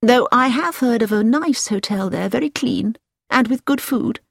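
A woman's voice: on the high side, expressive, normal speed, gravelly, no echo, studio quality, voice pushed up. A woman voicing a prim, disappointed middle-aged Englishwoman with an English accent, speaking in a raised voice in an audiobook.

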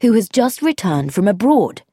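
A woman reading aloud and voicing a thoughtful, easygoing young man, speaking slowly in a plain, lowered tone. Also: very animated, dark tone, breathy edge, no echo, clean studio sound.

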